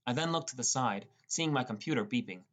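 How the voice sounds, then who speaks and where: A man's voice: medium pitch, expressive, fairly quick, fairly bright tone. A man reading a scripted first-person story as an audiobook narrator.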